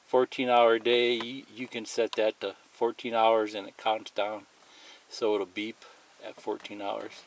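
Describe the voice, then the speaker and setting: Clear background noise sits under a man's voice in an amateur recording. He talks slowly at a middling pitch, walking through a product in a casual, plain, step-by-step way. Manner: plain-spoken, step-by-step explaining. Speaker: tutorial presenter. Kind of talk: casual hands-on product demonstration. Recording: clearly noisy amateur recording, clicks and beeps.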